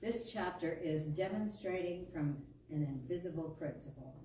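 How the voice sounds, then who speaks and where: Lower-voiced woman reading, very echoey hall. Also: normal speed, warm tone. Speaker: speaker reading to a congregation. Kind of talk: reading a spiritual talk aloud to a gathering. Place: meeting hall of a spiritual center. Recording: echoey hall, distant mic, faint noise.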